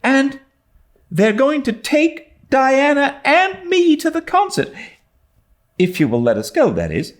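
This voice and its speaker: An excitable young girl from a storybook, acted out by a man, chattering in a very high voice. Her pitch leaps about dramatically as she pleads and gushes with breathless earnestness. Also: takes it slowly, full character voice. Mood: excited.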